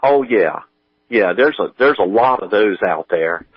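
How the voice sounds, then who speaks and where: A man chatting casually as an interview guest, thinking aloud in a halting, rambling way. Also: on the low side, lively intonation, no room sound, highs cut off. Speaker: guest, audio drama writer and producer.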